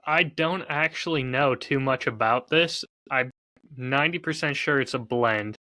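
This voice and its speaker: Bright, close-sounding man with dry wit. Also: medium pitch, a little slow, no room sound. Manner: dry, self-deprecating. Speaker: podcast co-host. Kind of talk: casual banter.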